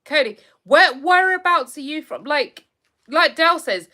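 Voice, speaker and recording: Bright, animated English woman livestreaming. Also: high voice, unhurried. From England. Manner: chatty, animated, reacts to comments. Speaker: livestream host. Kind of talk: casual chat reading viewer comments.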